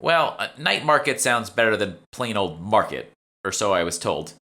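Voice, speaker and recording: A man's voice: lowish voice, big pitch swings, normal speed, sharp tone, voice pushed up, heavily acted. A man voicing a teenage salesgirl with a Boston accent, casual and explanatory, in an audiobook. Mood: chatty, informative. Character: teenage salesgirl, evasive then chatty, knowing alchemy shopkeeper. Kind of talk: audiobook character dialogue.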